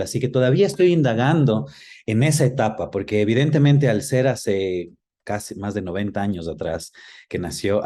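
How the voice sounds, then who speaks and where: A middle-aged man speaks as an interview guest in a soft-edged, mellow voice on the low side. He is reflective and conversational, pausing to search for words and adding small tag questions. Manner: hesitant, thoughtful, often checks with tag questions. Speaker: interview guest, screenwriter and filmmaker.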